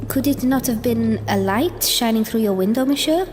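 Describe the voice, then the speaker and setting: A woman as a polite, high-voiced lady physician. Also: lively intonation, moderate pace, darkish tone, slight background noise, lightly acted. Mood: calm reassurance. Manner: polite, careful, placating. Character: deferential, firmly rational female physician of old Paris. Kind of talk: audio drama acting. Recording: music under the voice.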